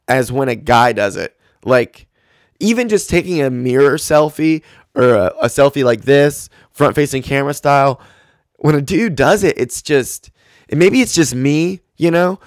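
A man's voice: mid-range voice, no room sound, studio quality. A man in his twenties hosting a solo podcast, talking casually and rambling with lots of fillers. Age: 20s. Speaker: podcast host.

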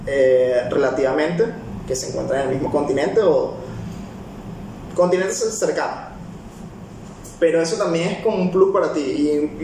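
A man's voice: on the high side, recorded from a distance, noisy background. Venezuelan man in an echoey room. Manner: rambling, many fillers and restarts. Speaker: barista. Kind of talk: casual personal talk.

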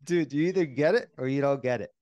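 A man's voice: mid-range voice, warm tone, no room sound. Jokey podcast host man, dry sound. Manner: jokey, quick to laugh. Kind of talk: casual interview chat.

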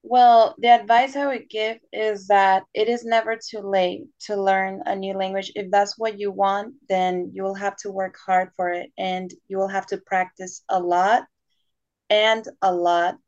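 A woman's voice: mid-range voice, level tone, a little slow, darkish tone. A young woman born in Panama and raised in the United States, a guest chatting casually on a podcast and laughing often. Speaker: guest, language assistant. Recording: dull lo-fi sound.

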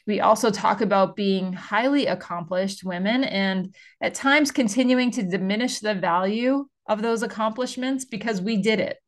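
A woman's voice: medium pitch, moderate pace, few pauses. A middle-aged woman hosting a podcast interview, chatty and upbeat with frequent fillers. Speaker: podcast host.

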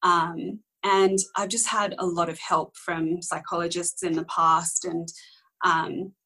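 A woman in her twenties, whose was Korean, speaks with a slightly low voice. She tells her story candidly in a casual interview, wandering and correcting herself along the way. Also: flat delivery, slow, pushed and bright, husky. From Australia. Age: young adult. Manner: rambling, candid, many ums and self-corrections. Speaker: interview guest.

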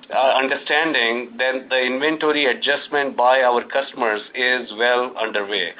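A man with a mid-range voice speaks as a corporate executive on an earnings call. He explains in a measured but halting way, with frequent pauses. The audio is dull and lo-fi, like a conference line. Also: medium pitch. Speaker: company executive. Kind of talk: earnings call answers to analysts.

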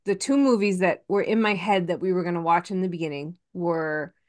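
A woman's voice: lowish voice, a little fast, a little mellow. A woman in her forties, a podcast co-host, talking in a chatty, rambling way and laughing often. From Massachusetts. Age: 40s. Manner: many fillers and restarts. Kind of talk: casual chat.

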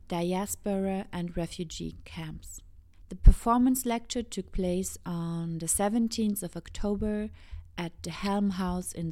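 Soft-spoken woman host, low and warm. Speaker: show host and narrator. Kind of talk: scripted narration.

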